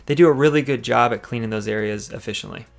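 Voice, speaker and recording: Fast-talking gravelly man narrating car detailing. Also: mid-range voice, soft background music. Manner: explanatory, tip-giving. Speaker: car detailing channel host. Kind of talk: instructional voice-over narration.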